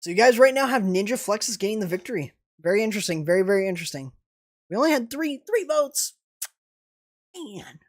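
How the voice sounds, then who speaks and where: A man's voice: high-pitched, a little fast. Young man calling an esports match. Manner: excitable, rising to sudden exclamations. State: voice strained and going hoarse. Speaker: esports commentator and streamer. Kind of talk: live play-by-play game commentary.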